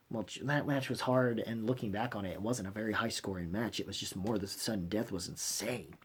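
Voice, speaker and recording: A man hosting a fan review channel, giving unscripted, opinionated commentary in a halting, emphatic, blunt way, often rewording his phrases. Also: mid-range voice, darkish tone, slightly rough. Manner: blunt, swears freely.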